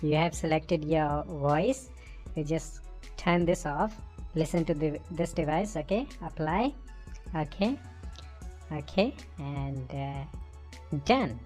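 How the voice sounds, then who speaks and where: A man speaking casually and haltingly through a voice changer set to female, giving a software tutorial. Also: faint music behind. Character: man's voice shifted to female by voice changer. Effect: pitch-shifting voice changer.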